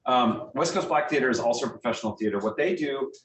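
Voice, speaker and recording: A man's voice: expressive, fast talker, a bit bright. Man, enthusiastic, in a roomy space. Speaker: guest speaker, theater artistic director. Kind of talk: informal question-and-answer talk to a group. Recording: roomy, distant mic, faint rumbling noise.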